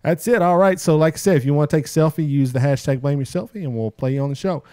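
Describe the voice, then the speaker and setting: A man's voice: fairly high pitch, fast talker, a little mellow, smooth, no echo, clean studio sound. A man hosting a casual podcast, upbeat as he reads out listener posts and plugs. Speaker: podcast host.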